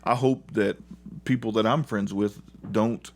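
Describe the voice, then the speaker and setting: A middle-aged man with a fairly low voice talks in a conversational interview. He is earnest and plain-spoken, telling family stories the way a parent and coach would. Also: steady tone, a little slow, a little mellow.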